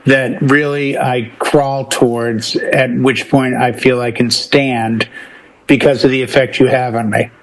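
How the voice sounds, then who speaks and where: A middle-aged man co-hosting a casual music chat, telling stories with deliberate pauses and emphasis. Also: mid-range voice, level tone, poor audio. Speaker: talk show co-host.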